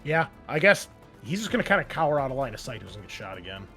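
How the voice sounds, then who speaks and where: A man acts as game master for a tabletop roleplaying game. His delivery is casual and descriptive with a wry streak, at a middling pitch. Music plays faintly behind him. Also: big pitch swings, quick pace, bright, forceful tone. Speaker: game master and show host.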